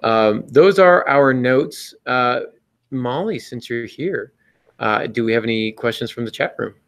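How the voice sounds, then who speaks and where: A man's voice: medium pitch, lively intonation, unhurried. A man hosting a podcast with casual, joking chat, enthusiastic and somewhat rambling. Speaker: podcast host.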